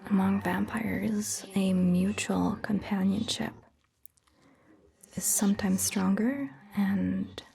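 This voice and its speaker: A woman plays a gentle, attentive female vampire doctor in an ASMR roleplay. The voice is mostly a breathy whisper with a crackly, fry-laden edge. Phrases come extremely slowly and carefully, over faint background noise. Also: mid-range voice, fairly even intonation. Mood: calm, musing. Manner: gentle, slow, measured. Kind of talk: soft-spoken ASMR roleplay.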